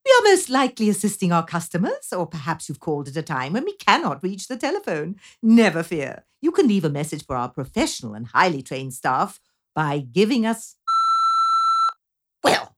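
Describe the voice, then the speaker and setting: A woman performs a salon's recorded phone greeter in an audio comedy. The voice is fairly low but very animated, swinging through formal, wordy announcements with over-polished cheer. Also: normal speed. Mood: polished, emphatic. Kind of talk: scripted phone greeting in comic audio drama.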